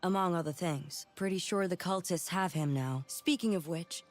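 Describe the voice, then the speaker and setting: A woman playing a caring middle-aged village leader in an animated game, measured and earnest. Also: fairly low pitch, soft background music, lightly acted.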